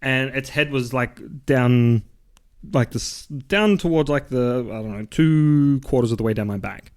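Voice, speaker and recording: Deep-voiced man chatting casually. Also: a little slow. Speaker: podcast co-host. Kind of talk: casual livestream chat.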